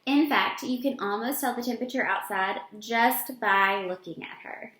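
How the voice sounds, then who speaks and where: Higher-voiced woman reading aloud in echoey room. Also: moderate pace. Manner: expressive, explains to children in simple words. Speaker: elementary school teacher. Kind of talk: picture book read-aloud for young children with explanatory asides. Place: indoor room with echo.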